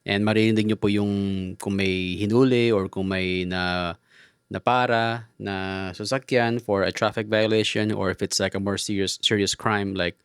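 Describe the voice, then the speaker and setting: A middle-aged Filipino man with a fairly low voice presents gear in a casual, explanatory way. He is polite and somewhat halting, switching languages mid-sentence. The sound is very dry, with no room echo. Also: steady tone, clear, resonant tone. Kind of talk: casual explanatory product talk.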